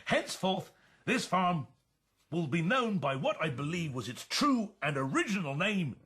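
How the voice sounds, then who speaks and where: Husky, pompous pig dictator. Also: male voice, high voice, big pitch swings, measured pace, a bit bright, heavily acted. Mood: pompous, smooth. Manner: formal, self-important speechmaking. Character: pompous male pig leader making a smooth toast. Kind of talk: radio drama acting.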